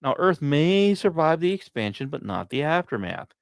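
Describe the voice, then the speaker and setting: A man hosting a science podcast, reading scripted narration in a measured storytelling way with deliberate pauses. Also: fairly high pitch, sing-song, gravelly, no room sound, clean studio sound. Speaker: podcast host.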